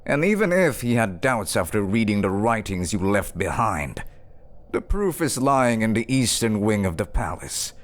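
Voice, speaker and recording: An actor playing a scheming duke in a fantasy audio drama, with a pompous, theatrical, taunting delivery. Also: male voice, on the low side, very animated, normal speed. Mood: mocking, accusing. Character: scheming, sneering duke, a smooth-tongued villain.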